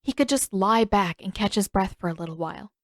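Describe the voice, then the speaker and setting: A woman narrates a piece of fiction in audiobook style. Her voice sits at a medium pitch, and the recording is dry, with little trace of the room. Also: expressive, a little fast, no room sound.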